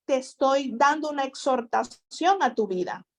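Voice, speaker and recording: A woman's voice: high-pitched, expressive. A woman teaching a sermon over an internet call, repeating phrases and exhorting her listeners. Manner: slow, repetitive preaching with exhortations. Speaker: Bible teacher. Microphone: internet call connection.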